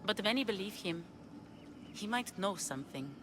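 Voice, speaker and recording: A woman's voice: medium pitch, lively intonation, normal speed, noisy background, natural voice. An actress playing a grieving young woman longing for her lost lover in a video game cutscene, speaking in an earnest, heartfelt way.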